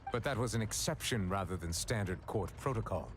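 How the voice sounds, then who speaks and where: A man playing a stern judge in a fantasy video game, speaking in a formal, measured, authoritative way. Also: lowish voice, background noise, natural voice. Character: stern, formal male judge presiding over a fantasy courtroom.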